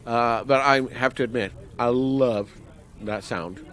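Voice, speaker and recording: A man conducts a casual band interview in a mid-pitched voice. He is informal and jokey, and his questions tend to ramble. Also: unhurried, a bit bright.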